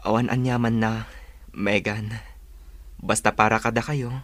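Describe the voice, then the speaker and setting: An actor playing an earnest, devoted young suitor in a radio drama. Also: male voice, fairly low pitch, little pitch movement, unhurried, close to an everyday voice. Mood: earnest, tender.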